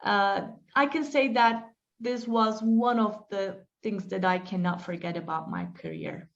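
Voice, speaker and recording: Woman teacher, unhurried and reflective, muffled call audio. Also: medium pitch, very animated. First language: Turkish. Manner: slow, reflective, with frequent fillers and restarts. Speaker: English teacher being interviewed. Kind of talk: interview answers, storytelling.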